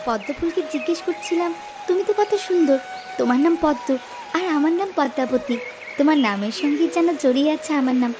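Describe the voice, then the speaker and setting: Lady doing tiny curious little girl in a cartoon, really high sweet voice, music under it. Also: a little fast, close to an everyday voice. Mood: curious, admiring. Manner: childlike, sweet questioning. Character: innocent, curious, affectionate little village girl. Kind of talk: cartoon story voice acting.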